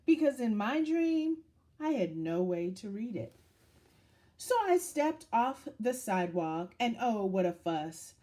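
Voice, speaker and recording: A woman reads a picture book aloud for children. Her delivery is slow and deliberate, with lively swings of pitch that dramatize the tale for young listeners. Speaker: children's story reader.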